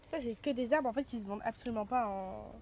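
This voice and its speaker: A woman's voice: fairly high pitch, quick pace, warm tone. A woman radio reporter on a farm visit, asking curious, chatty questions and laughing easily. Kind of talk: casual on-site interview. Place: outdoors on a farm.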